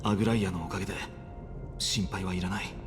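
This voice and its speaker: An actor playing an earnest, resolute young hero at war in a game, speaking with determination. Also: male voice, low voice, flat delivery, very fast, close to an everyday voice. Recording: hall reverb, loud game music.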